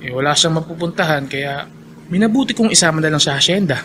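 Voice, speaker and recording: Earnest young gentleman landowner. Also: on the high side, expressive, fast, a little hiss or hum. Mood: considerate. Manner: polite, sincere. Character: kind, earnest young male plantation master. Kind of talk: Filipino radio drama acting.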